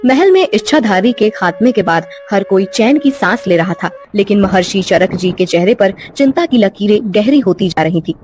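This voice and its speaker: A woman's voice: mid-range voice, animated, moderate pace, few pauses, music in the background. A woman narrating a scripted bedtime story as the storyteller.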